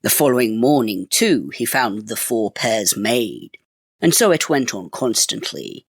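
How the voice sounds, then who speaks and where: A man reading a fairy tale as storyteller, in expressive, measured phrases. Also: lowish voice, no room sound, clean studio sound. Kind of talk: scripted storybook narration.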